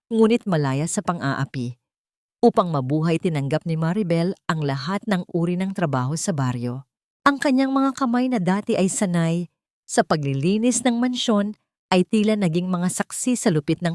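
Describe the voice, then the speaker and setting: A woman with a Filipino accent narrating an emotional scripted story in a measured, literary storytelling manner. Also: on the low side, darkish tone, dry recording, clean studio sound.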